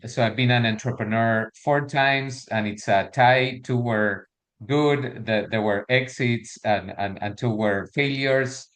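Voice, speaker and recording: A middle-aged man with an Argentine Spanish accent presents a workshop over a video call. His voice sits fairly low, and he talks in a halting way, restarting phrases and filling pauses with ums and uhs. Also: fairly bright tone. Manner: hesitant, many fillers and restarts. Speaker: workshop speaker.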